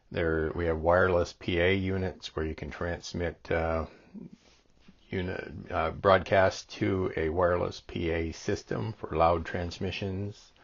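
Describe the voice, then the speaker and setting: A man's voice: sits low, dull audio. A man giving a casual product demonstration as a reviewer, explaining things in a plain-spoken, hesitant way.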